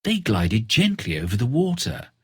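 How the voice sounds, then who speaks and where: A man narrating a scripted children's fairy tale in an expressive, deliberate storytelling manner. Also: medium pitch, slightly rough, dry recording, clean studio sound.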